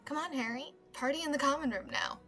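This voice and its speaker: Sly teen prankster boy, female actor, highish voice, playful and upbeat. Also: fast talker, pushed and bright, soft background music. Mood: mischievous. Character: mischievous, upbeat teenage schoolboy prankster.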